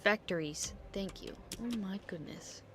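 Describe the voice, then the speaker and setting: A woman's voice: medium pitch, measured pace, noisy background. A woman game streamer chatting casually over gameplay with rambling asides.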